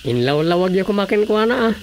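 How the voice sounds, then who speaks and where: A man's voice: high voice, animated, fast talker, a little hiss or hum. A man with an Ilocano accent acting a patient village council officer in an Ilocano radio drama comedy. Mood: concerned.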